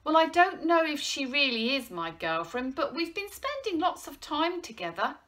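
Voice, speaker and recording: A woman's voice: high voice, very animated, normal speed, a bit bright, pitched above the performer's own voice. A woman voicing a curious, cheeky, friendly schoolboy who asks questions in a children's comedy audiobook.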